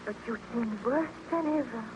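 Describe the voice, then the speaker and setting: An actress playing a devoted, anxious young sweetheart in an old-time radio drama, earnest and questioning in a melodramatic style. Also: female voice, on the high side, normal speed, lightly acted. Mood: alarmed, pleading. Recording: noisy, muffled old-time radio recording.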